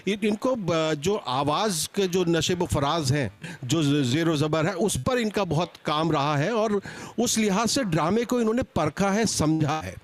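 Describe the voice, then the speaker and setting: Male seminar moderator, slightly high voice, conversational and extempore, halting restarts mid-sentence. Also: animated. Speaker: panel moderator. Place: public seminar at a book event.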